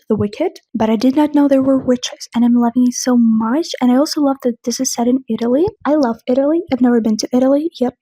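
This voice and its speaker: Woman, non-native English speaker, young adult, gushing casual book talk, husky tone, dry sound. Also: fairly high pitch, warm tone, no room sound. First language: not English; English is her third language. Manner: chatty, gushing, restarts often. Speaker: book vlogger. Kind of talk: casual reading vlog chat.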